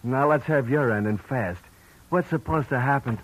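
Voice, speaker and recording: A man's voice: mid-range voice, moderate pace, lightly acted, low-quality recording. An actor playing a tough, menacing male gangster henchman in a 1940s radio drama, threatening and snarling.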